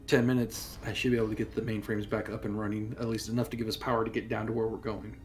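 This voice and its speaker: A man's voice: lowish voice, level tone, fast, soft background music. A man playing a tabletop game, speaking practically and asking rules questions. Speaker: player. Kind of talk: casual tabletop play.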